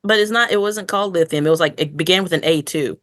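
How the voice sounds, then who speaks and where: A woman hosting a casual podcast review chat, rambling along in an animated way and acting out imagined lines. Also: lowish voice, fast talker, no room sound, clean studio sound. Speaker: podcast host.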